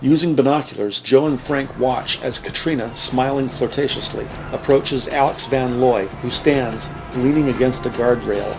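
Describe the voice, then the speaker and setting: A man narrating a scripted crime drama in a measured, matter-of-fact way. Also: medium pitch, creaky, rough recording. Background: music.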